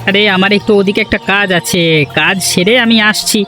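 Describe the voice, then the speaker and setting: Cartoon casting: slippery coaxing uncle male performer, piercingly high, sharp-edged voice. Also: steady tone, a little fast, soft background music. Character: shifty village uncle, coaxing and apologetic. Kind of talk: cartoon dub acting.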